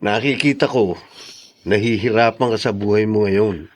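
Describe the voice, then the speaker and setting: A man's voice: fairly low pitch, normal speed, lightly acted. A man playing a caring, protective elder brother in a Tagalog radio drama, speaking with earnest concern.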